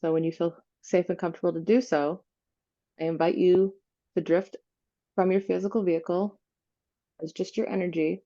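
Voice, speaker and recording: A woman leading an unscripted guided visualization meditation, giving gentle instructions with pauses. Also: fairly low pitch, steady tone, lo-fi sound.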